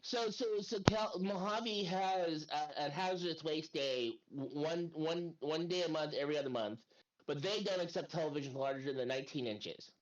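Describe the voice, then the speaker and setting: A man's voice: fairly high pitch, normal speed, recorded from a distance. Player, man, exasperated, swears, echoey room, lo-fi mic. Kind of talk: casual chat. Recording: roomy, dull lo-fi voice chat.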